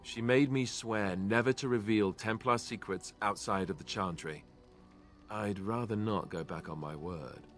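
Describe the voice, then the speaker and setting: A man's voice: on the low side, measured pace, a bit bright. A man acting a self-deprecating young ex-Templar warrior in a fantasy video game, wry and earnest with a lightly theatrical delivery. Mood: reluctant, guarded. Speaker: video game companion character. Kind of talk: scripted fantasy game dialogue.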